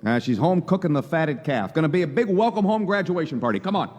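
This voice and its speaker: A man playing an earnest young small-town dreamer in a live radio play, with a halting stammer. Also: a little high, lively intonation, darkish tone. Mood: hearty, flustered. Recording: echoey hall, long reverb.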